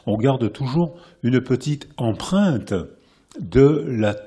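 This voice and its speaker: Husky French man giving a lively lecture. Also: medium pitch, takes it slowly. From France. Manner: lively, restarts phrases, builds points by repetition. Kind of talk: public lecture to a live audience. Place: lecture hall with an audience.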